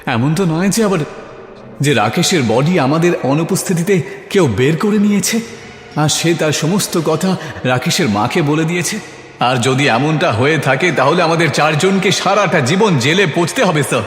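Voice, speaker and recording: A man's voice: a little high, lively intonation, moderate pace, voice pushed up, close to an everyday voice. A man voicing a frightened school watchman, fearful and pleading, in a horror story. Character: frightened male school watchman fearing prison. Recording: echoey, long reverb.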